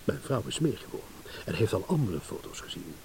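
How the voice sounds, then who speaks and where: An actor playing a self-assured, impatient philandering husband in a radio play, sardonic, blunt and mocking. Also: male voice, lowish voice, sing-song, brisk, vocal fry, a little mellow. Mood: dismissive, confident. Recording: hissy old radio play recording.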